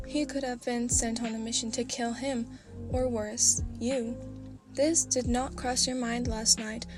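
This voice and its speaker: A woman reads a fan story aloud in a slow, sleepy style, deliberately pressing her voice lower than her usual speech. Even lowered, it still sits fairly high for a woman. Music plays steadily behind her. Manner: lowered, deliberately deeper reading voice. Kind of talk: reading fan fiction aloud, sleepy ASMR.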